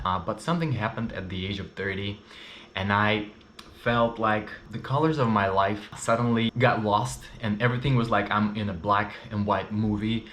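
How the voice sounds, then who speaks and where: Russian-Ukrainian-accented man, low voice. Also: a bit bright, a little hiss or hum. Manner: candid, chatty, frequent fillers. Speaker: vlogger. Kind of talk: casual personal story to camera. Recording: roomy echo.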